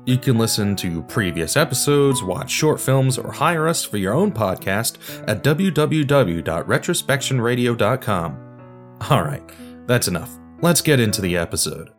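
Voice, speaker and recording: A man's voice: medium pitch, sing-song, normal speed, faint music behind. A man hosting a podcast, reading scripted narration in an explanatory, presentational way. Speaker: podcast host.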